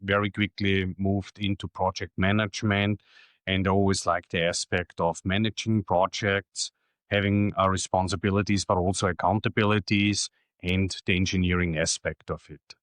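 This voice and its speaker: A middle-aged man from Austria, German his first language, with an Austrian German accent, answering interview questions in a plain, matter-of-fact way with many pauses. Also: low-pitched, level tone, a bit bright, no room sound. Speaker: guest.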